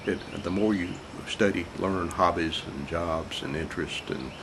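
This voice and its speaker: An older man with a congested, croaky voice, reminiscing about his life alone at home in a halting, rambling way with small chuckles. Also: deep voice. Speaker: vlogger telling his life story. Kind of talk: casual reminiscing monologue. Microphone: camera mic. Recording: noisy home camera recording.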